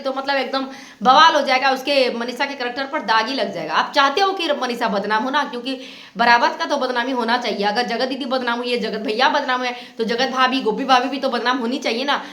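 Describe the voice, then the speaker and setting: A woman's voice: high-pitched, steady tone, fairly quick, a bit bright, echoey room. A woman giving opinionated reaction commentary as a channel host, reproachful and insistent, often repeating her points.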